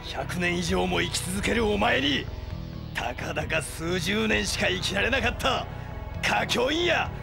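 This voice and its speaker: Gravelly, hot-blooded vengeful hero. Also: male voice, high voice, lively intonation, measured pace, over music, exaggerated voice. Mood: furious, defiant. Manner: impassioned, melodramatic. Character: hot-blooded, vengeful heroic male fighter. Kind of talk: anime-style audio drama acting.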